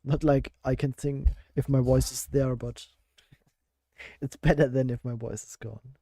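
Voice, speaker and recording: Hoarse gravelly-voiced man thinking aloud. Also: mid-range voice, fairly even intonation, measured pace, dark tone, no room sound. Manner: thinks aloud, answers chat, laughs at himself. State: hoarse, voice worn out. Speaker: game developer streaming a game jam. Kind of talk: casual live-stream chat while coding.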